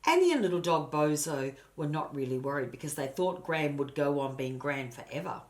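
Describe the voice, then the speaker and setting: An older woman with a low voice reads a children's story aloud. Her delivery is expressive and grandmotherly, as if showing the book to young listeners. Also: a little fast. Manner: grandmotherly, addresses listeners and points out pictures. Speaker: storybook reader.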